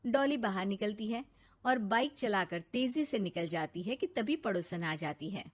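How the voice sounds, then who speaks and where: A woman's voice: medium pitch, animated, moderate pace. A woman giving scripted narration for a cartoon moral story.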